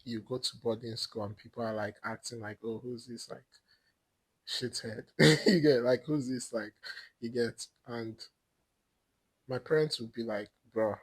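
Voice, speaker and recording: A young Nigerian man with an Igbo accent chats as a podcast guest, his mid-pitched voice a little husky. He rambles through his stories and breaks into laughter often. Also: fairly even intonation, unhurried, rough recording. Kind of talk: casual chat.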